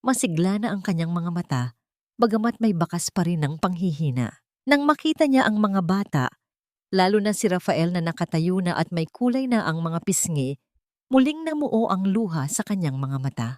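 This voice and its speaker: Solemn woman narrator, lowish voice. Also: lively intonation, moderate pace, darkish tone, dry recording, clean studio sound. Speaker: audiobook narrator. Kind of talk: dramatic scripted story narration.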